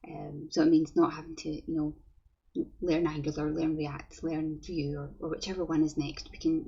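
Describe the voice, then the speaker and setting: Woman, Scottish Glasgow accent, low voice, husky tone, friendly conference talk, dull webcam sound. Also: darkish tone. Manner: friendly, chatty, repeats words as she goes. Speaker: conference speaker, developer. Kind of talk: online conference talk with slides.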